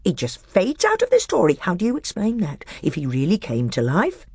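A woman's voice: on the low side, huge pitch swings, fairly quick, a little mellow, husky, no echo, clean studio sound, voice pushed up, heavily acted. A woman reading aloud, voicing a scheming, bitter male dwarf who speaks coldly and snaps. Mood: scornful. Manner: cold, snapping, rising to a scream.

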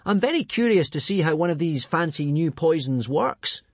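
Man as a high, urgent plucky boy hero. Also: animated, moderate pace, breathy edge, dry recording. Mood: urgent persuasion. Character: plucky quick-thinking young boy hero, anxious but bold. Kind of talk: children's audiobook character voice.

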